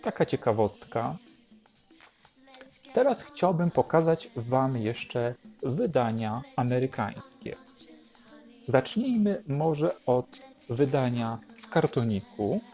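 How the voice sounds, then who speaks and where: Male host, explanatory and unhurried, mid-pitched and relaxed, over light music. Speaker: host presenting a record collection. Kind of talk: unhurried show-and-tell narration.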